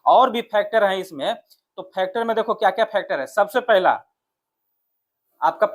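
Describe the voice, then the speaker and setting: A man's voice: high voice, lively intonation, normal speed. A man with a Bihari accent speaking as an exam coach, explaining informally and directly, often asking questions and answering them himself. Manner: conversational, addresses viewers directly, often asks then answers his own questions.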